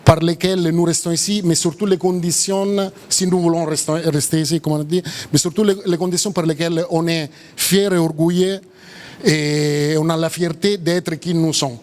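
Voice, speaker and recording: A man with a strong Italian accent giving public remarks as a cooperation official, halting and repeating words with drawn-out pauses. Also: on the high side, fairly even intonation, a little mellow.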